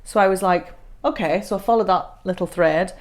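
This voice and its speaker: A woman, a voice coach chatting as a podcast guest, self-deprecating and quick to laugh. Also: medium pitch. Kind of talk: casual podcast conversation.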